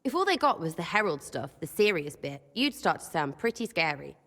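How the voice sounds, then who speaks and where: Game casting: irreverent young London rebel, flippant and blurting, very animated delivery, reverberant hall. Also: female voice, on the low side, brisk, full character voice. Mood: gleeful. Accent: English, working-class London. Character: cheeky, irreverent young woman rebel prankster. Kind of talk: video game character acting.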